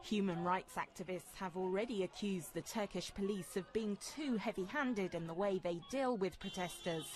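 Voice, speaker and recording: A woman news correspondent delivering a measured, formal broadcast news report. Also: medium pitch, soft background music.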